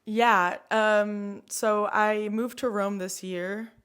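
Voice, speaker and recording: A woman's voice: mid-range voice, steady tone, drawn-out words, fairly bright tone, smooth. A young woman with an American accent, chatting reflectively as a podcast guest and often saying "like" and "um". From United States. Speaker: podcast guest, climate activist. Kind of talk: casual conversation.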